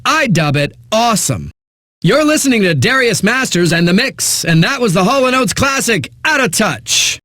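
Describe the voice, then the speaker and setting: An actor playing a breezy, smug radio DJ in a video game, delivering hyped, sardonic patter between eighties songs. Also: male voice, sits high, sing-song, moderate pace, pushed and bright, no room sound, studio quality, full character voice. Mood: glib, upbeat.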